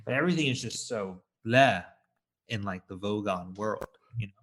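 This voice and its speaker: A man's voice: on the low side, normal speed, fairly bright tone. A man co-hosting a movie review podcast, talking in a casual, opinionated way. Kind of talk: casual film discussion.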